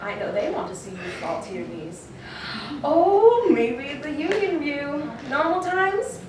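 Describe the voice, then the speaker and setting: Woman playing a taunting high-voiced villainess, echoey room. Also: sing-song. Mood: gloating. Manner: teasing, drawn-out, threatening sweetly. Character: taunting, scheming villainess with a secret to tell. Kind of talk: live amateur script reading, superhero audio drama. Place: indoor convention panel room. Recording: echoey convention panel room, distant mic, noisy.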